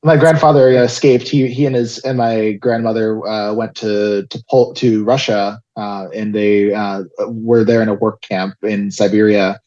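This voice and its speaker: A young man raised in South Florida recounts his life story as an interview guest, pausing often with fillers and restarts. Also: lowish voice, fairly even intonation. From Israel, raised in South Florida. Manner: hesitant, many restarts and fillers, soft laughs. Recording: dull lo-fi audio.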